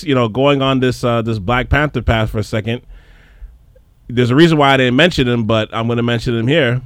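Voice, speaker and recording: A man hosting a casual podcast chat, animated and repeating words as he gets going. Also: mid-range voice, smooth, no room sound. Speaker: podcast host.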